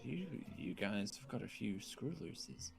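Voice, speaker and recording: A man's voice: on the low side, expressive, a little slow, creaky, slightly rough, faint music behind, exaggerated voice. A man playing a teasing, nosy amateur psychiatrist in an improvised game roleplay, dry and mock-formal in his questioning. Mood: quizzical. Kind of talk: improvised Minecraft zombie roleplay. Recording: background noise.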